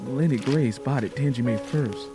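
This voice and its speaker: A man narrating an audio drama in a measured, solemn, dramatic storytelling style. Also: medium pitch, over music.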